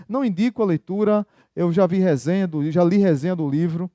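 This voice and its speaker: A pastor with a high yet mellow voice delivers a sermon, talking with the congregation in an easy, conversational way. He keeps asking them rhetorical questions, and his voice sounds dry and close to the microphone. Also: a little fast, no echo.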